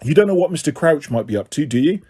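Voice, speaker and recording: A man's voice: a little high, very animated, fast talker, warm tone, slightly rough. A man voicing an earnest teenage wizard boy who talks in a plain, curious, friendly way. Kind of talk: audiobook character voice.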